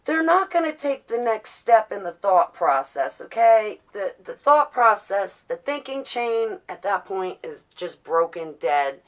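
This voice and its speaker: A woman's voice: medium pitch, very animated, measured pace, hardly any pauses. A woman giving a casual, rambling vlog monologue, opinionated and ranting, prone to swearing and to acting out imagined quotes. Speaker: vlogger.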